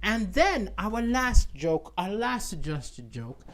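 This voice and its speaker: A man with a notably high-pitched voice, a comedian chatting casually and rambling to an online audience, often tagging lines with "right?". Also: big pitch swings, slow, fairly bright tone.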